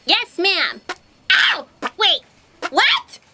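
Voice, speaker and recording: A woman's voice: extremely high pitch, wildly swooping pitch, very slow, exaggerated voice. A woman playing a naive, eager and hapless cafeteria underling in a comic audio drama. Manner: eager, guileless.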